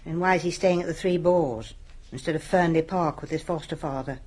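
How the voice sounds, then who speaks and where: A gossiping British village spinster, inquisitive and knowing. Her voice is husky and she presses every point in radio play style. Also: low-pitched, fairly quick, darkish tone. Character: nosy, gossiping, sharp-witted village spinster sister. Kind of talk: radio drama acting.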